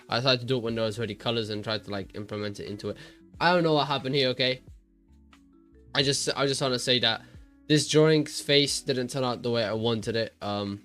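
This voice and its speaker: A man's voice: medium pitch, fairly bright tone. A young man hosting his own art channel, chatting in a rambling, self-deprecating way over his drawing, his voice worn and congested from a cold. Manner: rambling, self-deprecating, many restarts and fillers. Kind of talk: casual voice-over while drawing.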